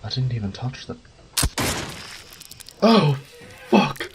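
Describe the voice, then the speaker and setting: A man's voice: medium pitch, big pitch swings, slow, noisy background. A man playing a cocky, wry thief who mutters casual, sweary self-talk in an audio roleplay. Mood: panicked. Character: cocky male thief, wry and foul-mouthed, talking to himself. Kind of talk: solo audio roleplay acting.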